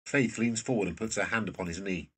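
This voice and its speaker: A man's voice: lowish voice, fast talker, a bit bright, slightly rough. A man reading scripted stage directions as the narrator of a drama.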